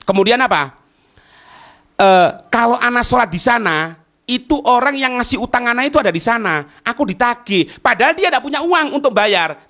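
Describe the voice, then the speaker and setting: High, animated East Javanese male preacher. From East Java, Indonesia. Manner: asks and answers own questions, checks listeners understand. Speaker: Islamic preacher and teacher. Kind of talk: informal religious lecture explaining a text line by line.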